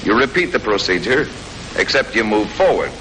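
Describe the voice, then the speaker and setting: An American man reading scripted 1940s military training film narration in a clear, matter-of-fact, instructive manner. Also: fairly low pitch, very animated, normal speed. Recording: noisy old 1940s film soundtrack.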